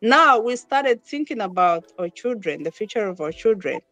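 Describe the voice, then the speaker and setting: A woman's voice: mid-range voice, very animated, moderate pace, fairly bright tone, no room sound. A woman who grew up in Uganda, a podcast guest talking casually about moving abroad with her children, laughing often and restarting her sentences. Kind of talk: casual interview conversation.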